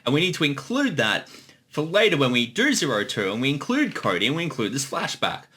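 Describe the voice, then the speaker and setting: A man's voice: mid-range voice, big pitch swings, brisk, sharp tone. A man giving casual, informal director's commentary as a series creator, with some swearing.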